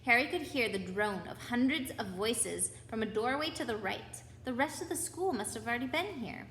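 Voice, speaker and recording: A woman's voice: fairly high pitch, expressive, normal speed, fairly bright tone. A woman reading a children's story aloud, with chatty asides to her young listeners. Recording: echoey room with background noise.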